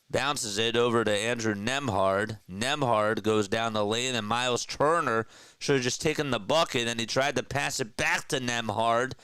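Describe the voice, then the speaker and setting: A man's voice: mid-range voice, smooth, no echo. Stuffy-nosed man calling basketball play-by-play. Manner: energetic, chatty, talks to viewers between plays. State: stuffy nose. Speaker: play-by-play caller. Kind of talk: live basketball play-by-play commentary.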